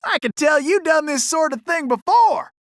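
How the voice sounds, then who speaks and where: An actor playing a cocky, gallant young cowboy outlaw in a video game, with a folksy, exclamatory Old West Southern drawl. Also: male voice, very high voice, expressive, normal speed, no echo, clean studio sound. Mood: admiring, excited. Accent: American Old West Southern drawl. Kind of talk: animated video game character acting.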